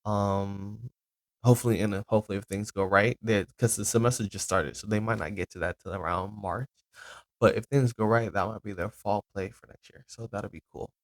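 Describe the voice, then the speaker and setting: Man in his twenties, low-pitched, casual rambling podcast host. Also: no echo. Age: 20s. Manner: rambling, loose, with many ums and self-corrections.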